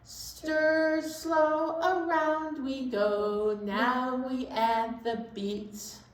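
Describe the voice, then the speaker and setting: Woman, high-pitched, very slow sing-song children's storytime host, roomy distant recording. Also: fairly even intonation. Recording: roomy echo, distant microphone.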